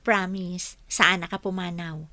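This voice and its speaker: A woman's voice: on the low side, fairly even intonation, a little slow, bright, forceful tone, no echo. A woman with an Ilocano accent playing a bashful, teasing office clerk in a radio comedy drama. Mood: coy, teasing.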